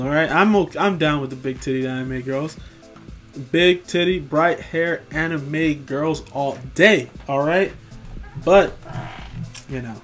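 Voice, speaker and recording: A young man rambling casually about collectible figures, profane and tired after work, tripping over names. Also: a little high. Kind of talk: casual commentary over screen images. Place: home room.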